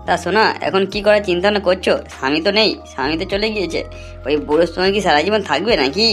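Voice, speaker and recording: A woman's voice: fairly low pitch, rapid-fire, a bit bright, natural voice. A woman voicing a sly, greedy male lover and swindler in an amateur cartoon, crude and taunting. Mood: scheming. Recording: music under the voice.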